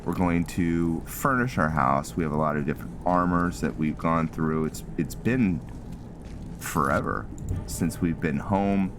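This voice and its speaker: A man hosting a gaming video with casual, rambling, halting commentary over the game. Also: sits low, expressive, background noise. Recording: clear game ambience behind voice. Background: game sounds.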